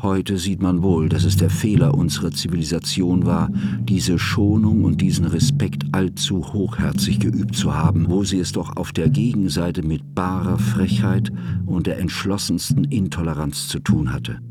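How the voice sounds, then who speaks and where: Actor as a low-voiced, bookish narrator. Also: male voice, fairly even intonation, close to an everyday voice. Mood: regretful, reflective. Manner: measured, bookish, reflective. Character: mild, cultured male schoolteacher narrating his memoir. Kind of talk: scripted literary narration in radio drama.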